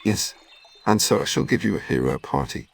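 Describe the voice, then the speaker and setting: A man's voice: lowish voice, very animated, moderate pace, gravelly, noisy background, heavily acted. A man playing a kindly, encouraging young boy in a children's story. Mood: proud, kind.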